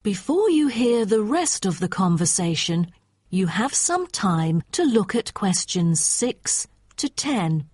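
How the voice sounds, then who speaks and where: Female test announcer, formal scripted delivery, slightly rough husky tone, dry recording. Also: medium pitch, very animated, slow, a little mellow, dry recording. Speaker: exam narrator.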